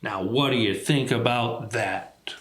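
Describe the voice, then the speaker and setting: A man voicing a patient, wise, firm elephant from a children's storybook, reasoning things out deliberately. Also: medium pitch, reverberant, background noise, voice pushed down, natural voice. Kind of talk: children's storybook read aloud.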